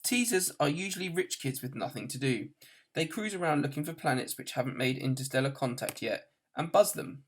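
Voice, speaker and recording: A man's voice: fairly high pitch, expressive, fairly quick, a little hiss or hum. A man plays an easygoing galactic hitchhiker in a comic storybook. He is breezy and pleased with himself, explaining everything in a matter-of-fact way. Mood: smug, amused. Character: breezy, self-satisfied alien travel-guide researcher, easygoing man.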